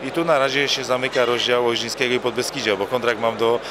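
A man's voice: medium pitch, steady tone, fast, noisy background. A man in his forties, a football coach, answering interview questions at an evening gala into a handheld mic, often repeating words as he starts a phrase. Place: hotel bar at an evening gala, indoors. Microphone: handheld mic held by interviewer.

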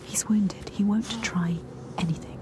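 A woman voicing a haunting inner voice in a dark fantasy game, breathy, insistent and ominous. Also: medium pitch, measured pace, lightly acted. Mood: uneasy. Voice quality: breathy whispering. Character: haunting inner voice, urgent female whisperer. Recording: loud music under the voice.

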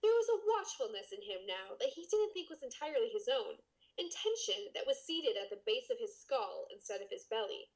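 A woman's voice: a little high, very animated, brisk, low-quality recording. A woman co-hosting a podcast, playful and teasing as she banters and reads aloud casually. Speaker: podcast co-host.

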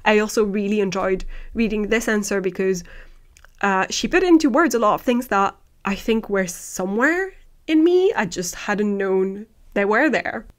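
A woman's voice: medium pitch, normal speed. Enthusiastic young woman chatting at a desk mic. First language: not English. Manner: animated, thoughtful and enthusiastic. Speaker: vlogger. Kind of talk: casual chat, reading out viewer answers. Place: home room with bookshelves. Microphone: desk microphone close to the mouth.

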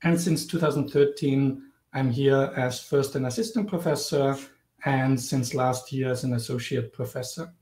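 A man's voice: medium pitch, normal speed, darkish tone, slight background noise. Austrian man lecturing over video call. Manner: clear, explanatory, lightly humorous. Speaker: guest lecturer, professor. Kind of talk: online guest lecture. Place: indoors, home or office, virtual background. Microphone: video call webcam. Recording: roomy video call audio.

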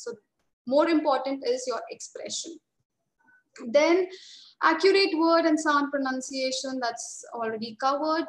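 A young woman with an Indian English accent, teaching a webinar as a trainer and explaining steadily and reassuringly over a video call. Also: fairly high pitch. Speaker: IELTS trainer. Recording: roomy video call audio.

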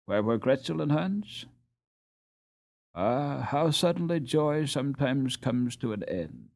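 A man reading a children's storybook aloud as an expressive audiobook narrator. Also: medium pitch, slow, gravelly.

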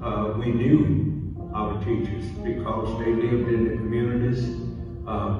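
A muffled, far-off theater recording of a man being interviewed, with clear music behind him. He reminisces slowly and hesitantly, stopping often on fillers. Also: on the low side, heavy reverb, in a large hall. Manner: hesitant, frequent fillers and repeats. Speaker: documentary interviewee. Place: played over theater speakers, recorded from the audience.

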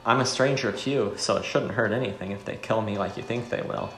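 A man's voice: fairly low pitch, a little fast, fairly bright tone, lightly acted. A man voicing a bold wandering fighter in a visual novel game, stoic, earnest and defiant. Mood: resolute, calm. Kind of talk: amateur visual novel voice acting. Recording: roomy with faint music.

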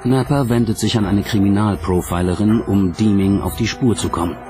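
A man narrating a documentary from a script in a measured, dramatic storytelling way. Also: on the low side. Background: background music.

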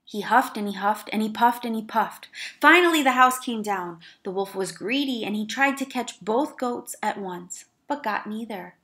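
Higher-voiced woman reading to children. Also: normal speed. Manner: expressive, careful emphasis for young listeners. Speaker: children's storyteller, teacher. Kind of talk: children's read-aloud narration.

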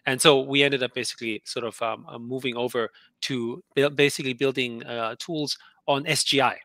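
A man's voice: mid-range voice, steady tone, normal speed, sharp tone, dry recording. A middle-aged man who grew up in Austria, with German as his first language, talking casually over a headset on a video call and rambling with frequent restarts. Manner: rambling, many restarts and "you know". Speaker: guest entrepreneur.

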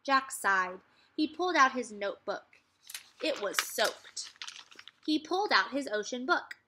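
A young adult woman reads a children's story aloud as a teacher, with expressive, clearly phrased narration. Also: fairly high pitch, measured pace, noisy background. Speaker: teacher reading aloud to second graders. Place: furnished room at home, indoors.

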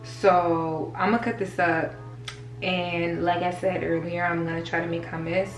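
Young woman chatting about groceries, casual and playful, roomy kitchen sound. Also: fairly low pitch. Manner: chatty, playful, talks straight to the camera. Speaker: vlogger. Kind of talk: casual grocery haul vlog. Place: small home kitchen, indoors. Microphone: camera mic at close range. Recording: echoey kitchen with faint music.

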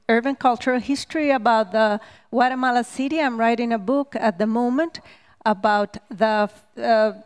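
A woman speaks on a stage panel through a headset mic. Her voice sits a little high and comes across smooth and clear. She pauses often, filling gaps with "uh" as she works through her thoughts. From Costa Rica. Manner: hesitant, many "uh" fillers, gestures while speaking. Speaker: panel guest, researcher. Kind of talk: panel discussion answer. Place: stage at a public talk event, indoors.

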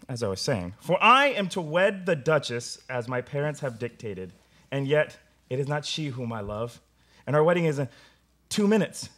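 A man's voice: medium pitch, sing-song, moderate pace, a bit bright. A young man playing a pompous, easily exasperated theater student in a comedic radio play, grandiose and theatrical. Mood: melodramatic. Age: young adult. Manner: grandiose, theatrical, whiny when thwarted. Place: small studio room, seated at a table with stage mic.

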